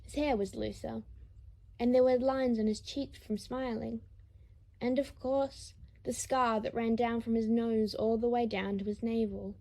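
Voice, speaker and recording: A woman's voice: a little high, fairly even intonation, a little slow, gravelly, darkish tone, poor audio. A woman narrating a story from a script, as in an audiobook. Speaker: fan fiction narrator.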